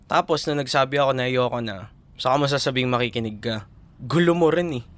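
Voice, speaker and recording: A man's voice: medium pitch, a little fast, fairly bright tone, lightly acted. A young man with a Filipino accent playing a caring but exasperated college boyfriend in an intimate audio roleplay, talking casually and earnestly in mixed Tagalog and English. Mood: frustrated, hurt. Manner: casual Taglish, coaxing and earnest.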